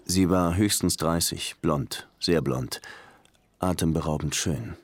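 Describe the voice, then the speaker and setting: An actor playing a dry, world-weary police lieutenant who narrates his case in a measured, wry way for a crime radio drama. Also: sits low, husky, no room sound, heavily acted. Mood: reflective.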